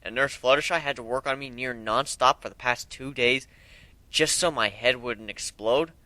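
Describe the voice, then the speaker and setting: A young man reads a story aloud as an amateur dramatic narrator. His voice is mid-pitched, bright and forceful. The recording is dry, with little room sound. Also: moderate pace, no room sound.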